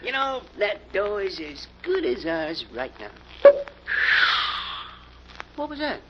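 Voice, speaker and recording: A muffled, noisy vintage radio recording of a bank robber's henchman. He talks in rough tough-guy slang with a nervy edge, in 1940s crime drama style. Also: high voice, wildly swooping pitch, slow, full character voice. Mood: uneasy.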